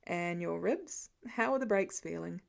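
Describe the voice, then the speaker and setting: A woman narrator voicing a guarded, fatherly man in a story, gentle and casually gruff, with coaxing, clipped phrases. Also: on the low side, expressive, unhurried, voice pushed down, heavily acted. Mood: relieved, concerned.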